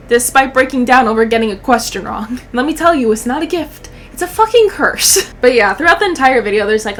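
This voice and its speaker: A woman in her twenties giving casual comedic reaction commentary as a channel host, sarcastic, profane and expressive. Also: mid-range voice, a little hiss or hum.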